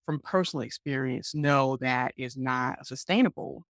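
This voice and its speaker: A woman from Georgia hosting an interview podcast, animated and encouraging in casual chat. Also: rumbling low, moderate pace, dry recording, clean studio sound. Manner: animated, encouraging, lots of affirming backchannels. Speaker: podcast host.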